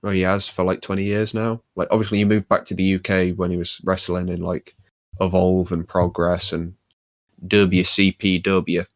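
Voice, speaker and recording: A man's voice: sits low, flat delivery, moderate pace, no room sound, studio quality. A man co-hosting a podcast and chatting casually, rambling with many fillers and restarts. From United Kingdom. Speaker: podcast co-host. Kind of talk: casual chat.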